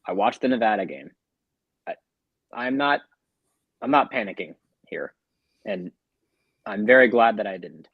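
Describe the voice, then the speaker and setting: A man's voice: lowish voice, expressive, a little slow, pauses often, breathy edge, no echo, narrow, tinny audio. A man co-hosting a sports podcast, talking through a game in a casual, colloquial, conversational way.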